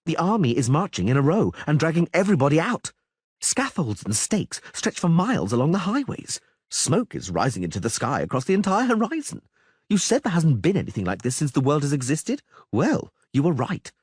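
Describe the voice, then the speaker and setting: A man voicing a frantic young male bard who pleads and dramatizes, in a husky voice. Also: fairly high pitch, darkish tone, no echo, studio quality, pitched above the performer's own voice, full character voice. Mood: grave. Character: panicky excitable male bard, young adult.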